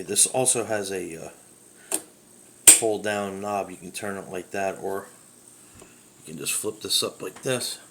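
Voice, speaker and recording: A man's voice: sits low, a bit bright, a little hiss or hum. A man casually showing off an old radio at home, rambling and thinking aloud as he goes, filmed on a handheld camera close by. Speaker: radio collector demonstrating a radio. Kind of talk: casual product demo. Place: home room with a window.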